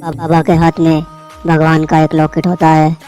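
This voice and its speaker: A storyteller narrates a scripted horror tale in a mellow voice. The pitch moves very little. Faint music plays underneath. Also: completely flat delivery, normal speed.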